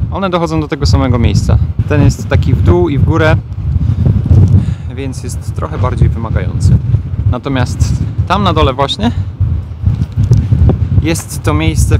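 A man's voice: medium pitch, very noisy. Polish man narrating a casual hiking vlog. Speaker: vlog host and hiker. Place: outdoors on a windy coastal hiking trail. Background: music.

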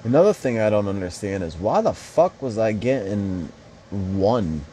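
A man's voice: lowish voice, sing-song, measured pace, dark tone, slight background noise. A young man casually commenting on a mobile fighting game, rambling and swearing with joking asides.

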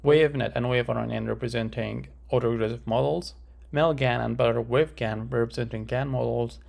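Male research presenter, very creaky gravelly voice, methodical scripted explanatory delivery, mid pitch. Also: darkish tone.

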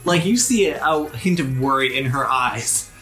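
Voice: mid-range voice, animated, normal speed, bright, forceful tone, faint music behind, low-quality recording. A game master explaining rules and narrating a tabletop game in a conversational, explanatory way.

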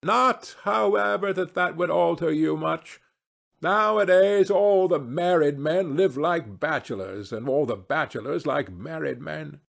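A man voicing a witty, imperious old aristocratic hostess in a novel reading, effusive, drawn-out and theatrical. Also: on the high side, gravelly, fairly bright tone, pitched above the performer's own voice, exaggerated voice. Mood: arch, teasing. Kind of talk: dramatised classic novel reading.

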